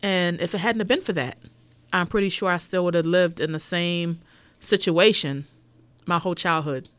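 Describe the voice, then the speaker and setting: A middle-aged woman co-hosting a local radio show, reminiscing in a soft, heartfelt way. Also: lowish voice, normal speed, no echo. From United States. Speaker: radio co-host. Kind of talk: casual radio chat.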